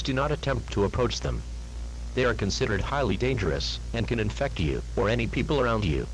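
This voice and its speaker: A man reading a scripted emergency alert announcement in a formal, grave, deliberate manner. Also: mid-range voice, slight background noise, rough recording.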